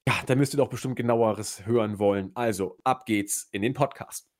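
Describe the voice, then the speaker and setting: A man hosting a podcast in casual chat, a bit hoarse from a cold, who often restarts his sentences. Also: on the low side, normal speed, heavy background noise. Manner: chatty, restarts sentences, laughs at own slips. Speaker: podcast host.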